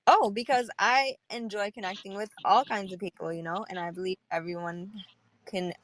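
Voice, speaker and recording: A woman's voice: medium pitch, fairly even intonation, normal speed, background noise. A young woman hosting a podcast in casual chat, talking freely, laughing often and saying "like" a lot. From New York. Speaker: podcast host. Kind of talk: casual chat on a live audio panel.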